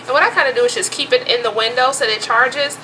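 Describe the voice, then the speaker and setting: Bright, higher-pitched woman, chatty and informal. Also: fast, a little hiss or hum, poor audio. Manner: chatty, informal, conversational asides. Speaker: vlogger presenting emergency gear. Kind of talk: casual product show-and-tell.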